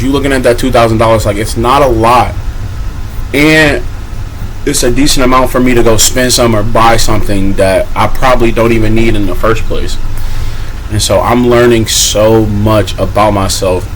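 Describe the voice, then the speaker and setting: A man's voice: mid-range voice, slight background noise, low-quality recording. Man in his twenties, candid profane vlog. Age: 20s. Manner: casual, profane, self-motivating, repeats "right?". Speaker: vlogger. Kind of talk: candid personal vlog monologue.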